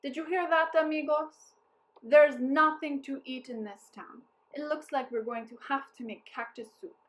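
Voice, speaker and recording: A confident storybook captain of soldiers played by a woman reading aloud. He is wily and courteous, coaxing with emphatic, persuasive phrasing in a high, lifted voice. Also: moderate pace, natural voice. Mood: feigned pity.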